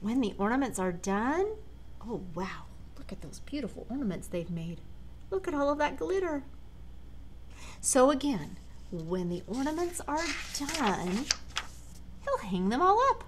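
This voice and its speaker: American woman reading aloud, lively pitch. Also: measured pace. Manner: expressive, addressing young listeners directly. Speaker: storyteller reading a picture book to children. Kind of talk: read-aloud of a children's storybook with chatty asides. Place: large living room, indoors. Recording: clear background room noise.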